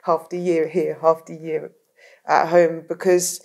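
A young woman with a Southern English accent talks easily on a podcast, her voice sitting a little low. She fills her sentences with little fillers and keeps breaking into laughter. The talk stays loose and friendly. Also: flat delivery, measured pace, darkish tone. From England. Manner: laughs often, chatty with many fillers. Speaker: DJ guest. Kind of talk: casual podcast chat.

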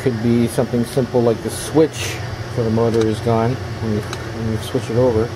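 A man giving casual, halting hands-on repair commentary, thinking aloud as he works in a small workshop. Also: medium pitch. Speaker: electronics repair hobbyist hosting a video. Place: small indoor workshop at a workbench. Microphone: handheld camera's built-in microphone. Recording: noisy handheld camera sound, steady background hum.

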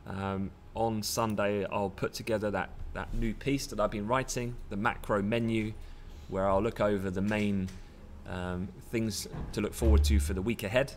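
A man's voice: lowish voice, flat delivery, normal speed, hardly any pauses. A British man in his thirties presenting a live market briefing, giving advice in a conversational, explanatory way. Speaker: head of market analysis, presenter. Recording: background noise.

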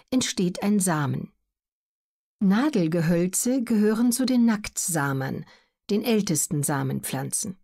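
A woman reading scripted educational narration in a measured, neutral, carefully articulated way. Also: fairly low pitch, vocal fry, a little mellow. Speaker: audiobook narrator.

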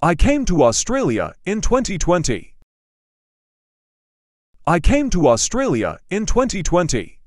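A man's voice: sits high, wildly swooping pitch, unhurried, pauses often, no echo, studio quality. A man reading a simple English dialogue for learners, carefully enunciated and repeating each line. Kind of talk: slow scripted dialogue reading.

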